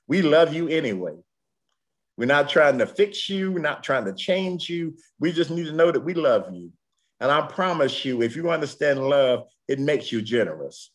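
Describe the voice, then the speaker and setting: A man in his sixties with a Southern US accent, a pastor preaching a sermon in rhythmic, repeated refrains. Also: mid-range voice. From Tennessee, United States. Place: room at home. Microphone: computer mic on video call.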